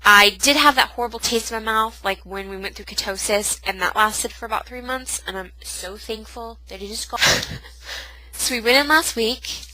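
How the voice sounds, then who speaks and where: A woman's voice: a little high, moderate pace, bright, forceful tone, dry recording, poor audio. Sniffly, nasal woman with a cold. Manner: chatty, exasperated, self-mocking. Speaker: vlogger. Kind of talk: casual pregnancy update vlog.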